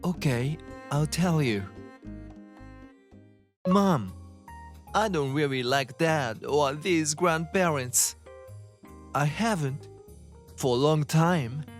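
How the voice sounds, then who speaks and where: A woman voicing a sweet, timid young boy with a soft, hesitant manner in a manga dub. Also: low-pitched, slow, long pauses, soft background music. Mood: hesitant, sad.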